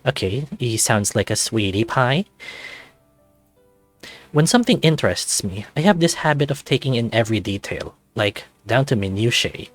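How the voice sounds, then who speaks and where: A man's voice: medium pitch, normal speed, no echo, soft background music. Man reading a visual novel aloud. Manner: expressive, animated storytelling. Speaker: channel host and reader.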